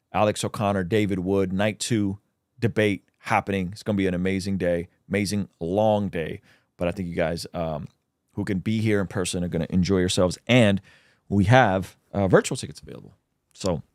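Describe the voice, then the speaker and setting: A man's voice: low-pitched, no echo, studio quality. A man, a native Russian speaker, hosting a casual livestream where he reads out chat questions and answers them with plenty of ums.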